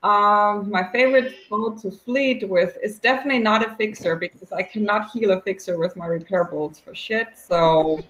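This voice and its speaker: A woman from Germany chats through interview questions, giggling often and filling gaps with ums. Her voice is mid-pitched and carries a noticeable room echo. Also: normal speed, few pauses, fairly bright tone, a little hiss or hum. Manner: chatty and giggly, many fillers. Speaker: game developer community liaison. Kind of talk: casual interview answers.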